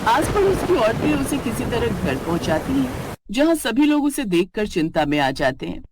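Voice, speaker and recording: A woman narrating an animated family story in a calm storybook manner. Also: on the high side, moderate pace, very noisy.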